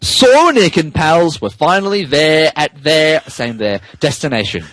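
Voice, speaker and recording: Animated, higher-voiced man reading a story. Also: unhurried, no echo. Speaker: podcast host reading fan fiction aloud. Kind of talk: dramatic comic reading of a story.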